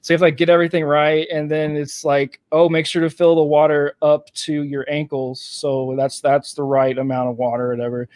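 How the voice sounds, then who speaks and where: A man from Texas co-hosting a casual game podcast, talking through AirPods in a relaxed way full of "like". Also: on the high side, fairly quick, hardly any pauses, no room sound. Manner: relaxed, filler-heavy with lots of "like". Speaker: podcast co-host. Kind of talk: casual chat.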